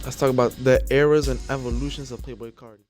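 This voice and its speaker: A young man talking casually about rap music in a laid-back way, speaking a little quieter than usual from a hotel room, often saying "you know what I'm saying.". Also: medium pitch, steady tone, fast talker. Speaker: music commentary YouTuber. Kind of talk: casual talking-head commentary.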